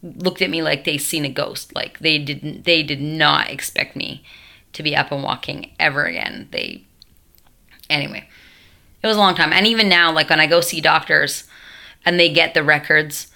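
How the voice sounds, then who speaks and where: Low gravelly woman, voice altered by tracheotomy. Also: moderate pace, pushed and bright. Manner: rambling, self-interrupting, many fillers and asides. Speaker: vlogger. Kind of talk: casual storytelling to camera.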